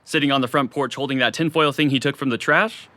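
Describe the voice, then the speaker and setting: A man's voice: mid-range voice, fairly even intonation, quick pace, sharp tone, no room sound, close to an everyday voice. A man playing a sarcastic, teasing teenage boy in an audio drama, bantering with his best friend. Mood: wry, curious. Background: music.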